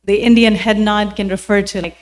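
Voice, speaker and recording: A woman's voice: medium pitch, fairly even intonation, a little fast. Female audience member, mid-range voice, courteous and respectful questioner.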